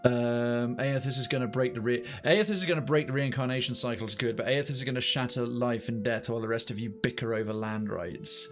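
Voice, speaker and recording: Guy streaming a game, reading narration out and musing on it, normal pitch, bit of music behind. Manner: musing, thinking aloud. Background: faint game music.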